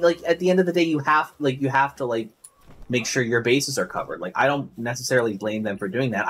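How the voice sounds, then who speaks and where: A young man streaming a game from home on a headset, chatting loudly and casually, swearing and restarting often. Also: medium pitch, husky. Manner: loud, rambling, swears freely, many restarts. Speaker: streamer and podcast host. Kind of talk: casual chat while gaming. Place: home room at a gaming desk.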